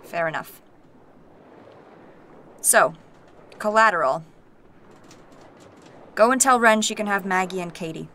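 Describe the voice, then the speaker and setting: A woman playing a gruff, blunt, commanding warrior in a fantasy audio drama. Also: medium pitch, slow, long pauses, bright, forceful tone, slight background noise. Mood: stern, warning. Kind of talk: naturalistic audio drama acting.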